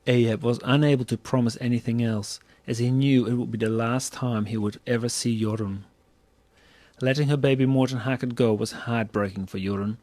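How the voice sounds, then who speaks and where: A male storyteller reads scripted narration in a medium-pitched voice. The recording is close and dry, with no room sound. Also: fairly even intonation, normal speed, mellow, no room sound.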